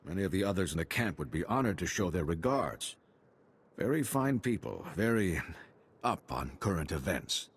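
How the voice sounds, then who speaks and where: An actor playing a courteous, loyal and stoic nobleman in a video game, with a husky voice and formal, gracious manner. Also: low voice, sing-song, normal speed, a little hiss or hum. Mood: gracious, proud. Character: courteous, loyal male minor nobleman, wounded but stoic.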